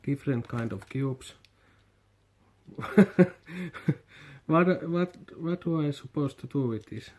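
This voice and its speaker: A Finnish man vlogging a toy unboxing in halting non-native English, hesitant and repeating his words. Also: medium pitch, a little mellow. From Finland. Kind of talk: casual unboxing commentary.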